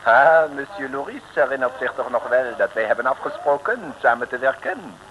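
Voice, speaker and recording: Suave French agent-waiter, male actor: French accent, florid and formal, animated pitch, slightly noisy vintage radio comedy. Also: normal speed, highs cut off, lightly acted. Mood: courteous. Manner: florid, over-polite, formal. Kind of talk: 1960s comic radio serial acting. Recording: noisy old radio recording.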